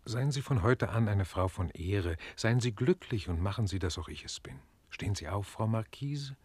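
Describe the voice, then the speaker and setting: An actor playing an earnest, tender-hearted French marquis in a German radio play, husky and courtly. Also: male voice, lowish voice, expressive, moderate pace, a little mellow, no room sound. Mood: tender, forgiving. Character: lovesick French marquis, tender, despairing and forgiving nobleman.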